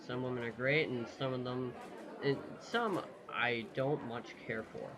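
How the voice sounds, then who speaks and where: A man's voice: medium pitch, a little slow, crowd noise. A man doing a game let's play, commenting casually and reading the on-screen dialogue aloud. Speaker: gaming YouTuber.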